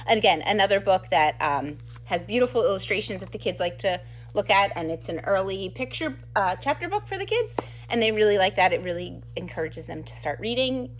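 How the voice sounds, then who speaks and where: A woman's voice: mid-range voice, lively intonation, brisk, a little hiss or hum. A middle-aged woman, an enthusiastic teacher, giving a casual, giggly book talk to camera. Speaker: second grade teacher presenting book recommendations.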